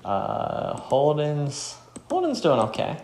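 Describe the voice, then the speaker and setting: Man in casual gameplay chat, creaky voice. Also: a little high, wildly swooping pitch, slow, darkish tone, echoey room, slight background noise. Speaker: gamer playing and reading game dialogue. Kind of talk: casual let's play chat with read-aloud dialogue.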